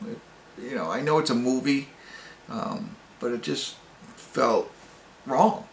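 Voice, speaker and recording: Hesitant man with a gravelly, creaky voice. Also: medium pitch, slow. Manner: hesitant, rambling, searching for words. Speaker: amateur movie reviewer and host. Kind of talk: casual off-the-cuff movie review. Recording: roomy echo, faint background noise.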